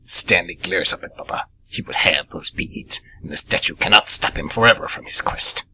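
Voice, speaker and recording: A man's voice: low voice, moderate pace, bright, forceful tone, breathy edge, poor audio. A man reading a scripted story as a radio theatre narrator. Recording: noticeable background noise.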